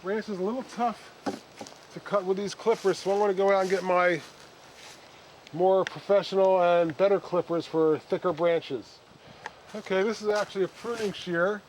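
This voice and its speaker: Higher-voiced man in a noisy recording. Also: fairly even intonation. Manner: halting, thinking aloud while working. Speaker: bonsai hobbyist host. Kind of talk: unscripted hands-on plant demonstration.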